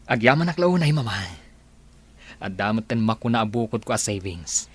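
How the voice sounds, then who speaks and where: A man playing a rich young son who wants money of his own, with a husky voice, in a dramatised story. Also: lowish voice, animated, moderate pace. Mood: eager, determined.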